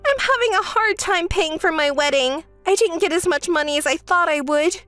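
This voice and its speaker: A woman's voice: moderate pace, a bit bright, faint music behind. A woman voicing a selfish, whiny young woman who begs and complains to her sister in a story. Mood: complaining. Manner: shrill, begging, self-pitying. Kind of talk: storytelling with acted dialogue.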